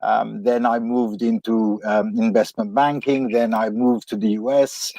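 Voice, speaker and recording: A man from Lebanon answers interview questions in a low, steady voice, pausing and restarting as he thinks. The recording sounds dry and close, with little room tone. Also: vocal fry, dry recording. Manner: hesitant and reflective, with many fillers and restarts. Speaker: guest economist.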